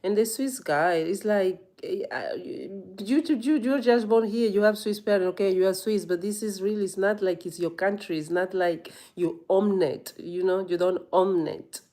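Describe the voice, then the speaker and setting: A woman's voice: mid-range voice, normal speed, few pauses, dark tone. A woman yoga teacher in a reflective personal monologue, earnest and rambling, often saying "you know".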